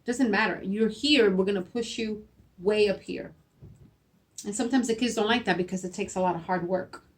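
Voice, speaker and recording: Middle-aged woman, Spanish native, school principal; earnest, restarts often, mid-pitched voice. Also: normal speed. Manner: earnest, repeats herself, frequent "right?" tags. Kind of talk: interview answers.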